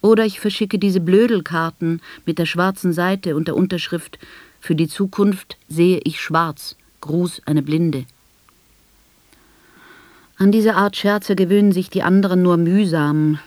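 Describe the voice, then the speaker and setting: Dry, measured blind woman, bitterly ironic actress's monologue. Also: on the low side, darkish tone, dry recording, natural voice. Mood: wry, ironic. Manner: articulate, measured, sardonic. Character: blind woman recounting her life with bitter, ironic humour. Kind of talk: literary radio drama monologue.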